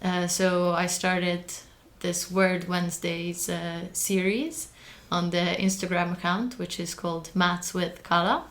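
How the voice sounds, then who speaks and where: A woman's voice: fairly low pitch, steady tone, slow, hardly any pauses, fairly bright tone. Earnest young woman, Hungarian native speaker. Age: young adult. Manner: careful, earnest, with frequent hesitations. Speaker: guest, early career mathematician. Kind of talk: podcast interview.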